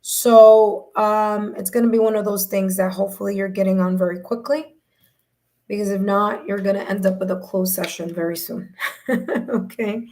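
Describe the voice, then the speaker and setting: Woman, mid pitch, slightly hoarse voice, casual live-stream host who keeps checking in with viewers. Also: steady tone, moderate pace, mellow. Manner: chatty, repeats herself, tags sentences with "okay?". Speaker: tarot reader hosting a live stream.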